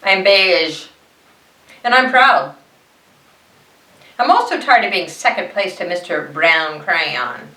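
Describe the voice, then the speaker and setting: A woman voicing a proud, disgruntled beige crayon from a storybook, airing grievances in a deadpan, plainspoken way. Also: on the low side, takes it slowly, long pauses, roomy sound, recorded from a distance, full character voice, rough recording. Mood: proud, aggrieved.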